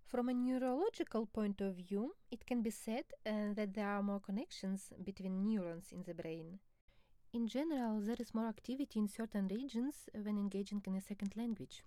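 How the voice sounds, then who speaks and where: A woman speaking as an expert co-presenter in a scripted educational dialogue, measured, formal and explanatory. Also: mid-range voice, mellow, no room sound.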